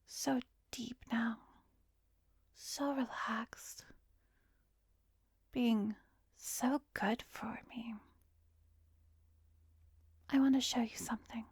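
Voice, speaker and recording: A woman playing an arrogant, seductive vampiress who hypnotizes in an ASMR roleplay, breathy and commanding, often slipping into a whisper. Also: a little high, steady tone, extremely slow, long pauses, dry recording, studio quality, exaggerated voice. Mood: soothing, hypnotic, then coaxing, commanding.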